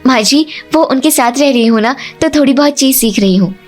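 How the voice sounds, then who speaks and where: A woman's voice: sits high, fairly quick, soft background music, pitched above the performer's own voice. A woman voicing a clever, polite but insistent young daughter-in-law in a cartoon family story. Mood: defensive, polite.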